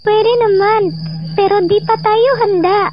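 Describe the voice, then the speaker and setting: A woman's voice: very high voice, steady tone, a little slow, highs cut off, background noise. A woman playing a worried, caring young wife in an amateur radio drama. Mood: pleading, worried.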